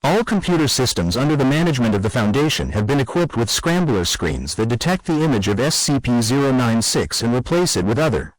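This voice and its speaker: Male emergency announcer, acted, grave and official audio drama read, animated pitch movement, close dry recording. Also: no echo, poor audio. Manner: serious, official. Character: grave male official addressing secret foundation staff. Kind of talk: formal scripted emergency announcement.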